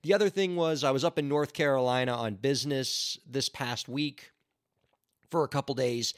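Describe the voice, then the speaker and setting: A man hosting his own podcast in an upbeat, chatty and earnest way, his voice hoarse from laryngitis. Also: mid-range voice, normal speed, dry recording, studio quality. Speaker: podcast host. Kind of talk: casual solo podcast talk.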